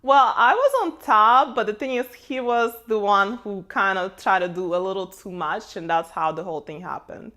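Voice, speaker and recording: A woman's voice: medium pitch, normal speed, hardly any pauses, sharp tone. A woman speaking informally as an interview guest, telling a personal story in loose conversational phrasing. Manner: informal, hedging with frequent "like" and "you know".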